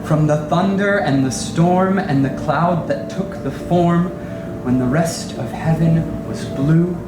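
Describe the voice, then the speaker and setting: A stage performer reads verse solemnly and very slowly, breaking it into short, measured phrases. The room is echoey, and plucked guitar music plays loudly underneath. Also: mid-range voice. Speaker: stage performer reading poetry. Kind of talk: dramatic poetry recitation.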